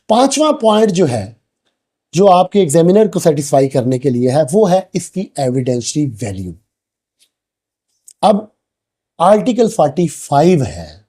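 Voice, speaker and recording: A Pakistani man delivers a lecture in a soft, mellow tone that sits a little high for a male voice. He is didactic, laying out each point in turn as a teacher would for exam students. English technical terms are mixed into his explanations. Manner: didactic, switches between Urdu and English legal terms. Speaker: law lecturer.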